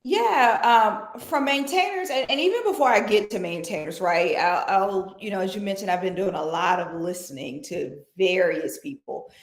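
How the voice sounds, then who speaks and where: A woman guest on an interview stream, her voice in a middle register. She speaks in an animated, persuasive way. The recording has an echoey room sound. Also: medium pitch, normal speed, hardly any pauses. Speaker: guest executive. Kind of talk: conversational interview.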